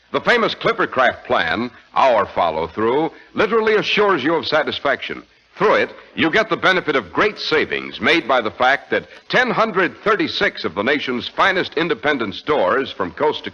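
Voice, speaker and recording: American man, vintage radio pitchman, husky and animated, dull 1940s broadcast sound. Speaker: radio announcer. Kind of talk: 1940s radio ad and scripted narration. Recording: muffled 1940s radio recording.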